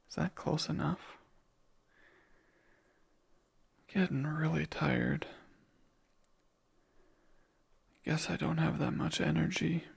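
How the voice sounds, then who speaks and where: Man doing a gravelly whispering shadow demon. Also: on the high side, exaggerated voice. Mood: wistful. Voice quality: whispery, breathy. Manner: slow, soft-spoken, wistful musing. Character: lonely, clingy male sleep paralysis demon. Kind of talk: ASMR roleplay.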